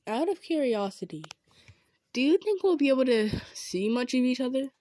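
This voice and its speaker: A woman's voice: sits high, very animated, moderate pace, no echo, voice pushed up. Woman playing a humble, kind centaur, dry close sound. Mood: shy, hopeful. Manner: earnest, hesitant, gentle insisting. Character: kind, humble, self-effacing female centaur. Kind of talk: improvised audio roleplay addressed to the listener.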